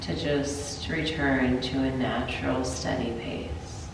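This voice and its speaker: A yoga teacher with a stuffy sinus cold talks her class through breathing and stretches in a very low, slow voice. She clears her throat now and then. She sits some way from the camera, and the room gives a strong, long echo. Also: far from the mic, noisy background. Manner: calm, gentle cues with throat clearing. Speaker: yoga instructor. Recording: roomy echo, voice from afar.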